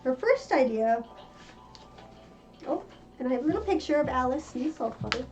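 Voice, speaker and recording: High-pitched, animated woman reading a story. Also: normal speed. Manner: theatrical, expressive. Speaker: storybook reader, cosplayer in character. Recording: muffled lo-fi with music behind. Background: faint music.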